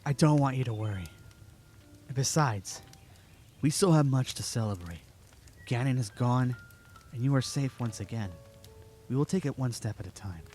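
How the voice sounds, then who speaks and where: An actor playing an earnest, dutiful young knight in a fan audio drama. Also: male voice, medium pitch, animated, moderate pace, pauses often, darkish tone, soft background music, lightly acted. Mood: reassuring.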